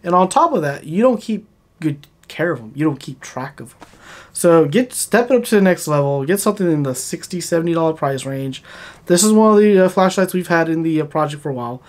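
A man's voice: fairly high pitch, fairly quick. A man in his thirties, talking casually to camera about his fishing gear. He keeps checking in with "okay?", recorded in a plain indoor studio. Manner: relaxed, chatty, often checks in with "okay?". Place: plain indoor studio against a grey wall.

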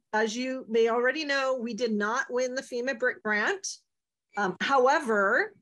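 A woman presenting a committee update to a town board over a video call, speaking in a clear, explanatory and upbeat way. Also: a little high, fairly bright tone, low-quality recording.

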